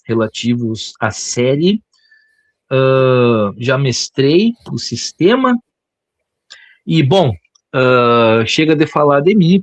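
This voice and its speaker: A man's voice: mid-range voice, no echo. A Brazilian man from the south of Brazil, co-hosting a podcast in a playful, joking way and chuckling often. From Brazil, Rio Grande do Sul. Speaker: podcast co-host. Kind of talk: casual podcast chat.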